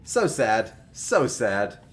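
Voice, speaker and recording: A man in his twenties chatting casually with viewers while livestreaming a video game, joking and animated. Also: medium pitch, drawn-out words, faint music behind. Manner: animated, joking, talks to chat by name. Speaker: game streamer.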